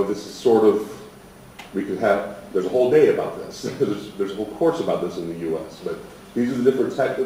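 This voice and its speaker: A man's voice: on the low side, dark tone. An American man giving an informal presentation as an instructor, plain-spoken and self-deprecating. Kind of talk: informal presentation at a programme opening. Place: echoey indoor presentation room. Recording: echoey room, distant mic, faint noise.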